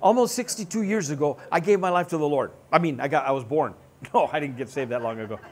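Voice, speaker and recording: A pastor in his sixties delivers a playful, animated sermon from a church stage, joking with the congregation as he goes. His voice sits a little high for a man. He is picked up by a headset mic. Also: quick pace. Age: 60s. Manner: animated, joking, gestures broadly while seated. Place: church stage with band gear.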